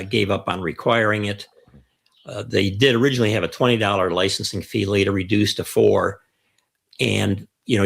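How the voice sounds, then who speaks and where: A man's voice: lowish voice, vocal fry, a bit bright. An older man with an American accent, chatting through an explainer as host and stressing key phrases. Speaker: host of a ham radio show.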